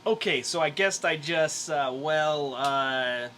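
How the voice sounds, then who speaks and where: A man acts out a reluctant, self-deprecating working guy in a comic book reading. The voice is forceful and fairly high, grumbling and slangy, over faint noise. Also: slow. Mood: awkward flirting.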